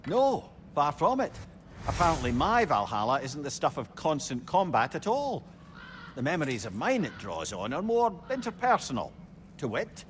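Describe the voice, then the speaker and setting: Game role: witty old Scottish rogue, raised pitch, very lively swings, genial and wordy, some noise behind. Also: male voice. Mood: cheerful. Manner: wry, loquacious, old-fashioned wording. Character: genial, witty old severed head, former fairy trickster. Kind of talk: video game dialogue, storytelling banter.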